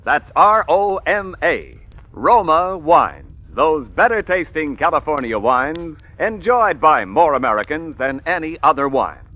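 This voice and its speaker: A man's voice: a little high, sing-song, unhurried. A man announcing a sponsor on 1940s radio with a polished, emphatic sales delivery. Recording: dull vintage 1940s radio recording.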